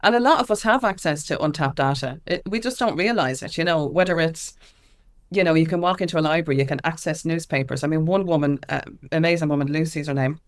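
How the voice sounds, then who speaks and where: A woman's voice: sits low, fast talker. An Irish woman giving candid, informal and emphatic answers as an interview guest, speaking as an activist. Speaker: guest, activist and music consultant.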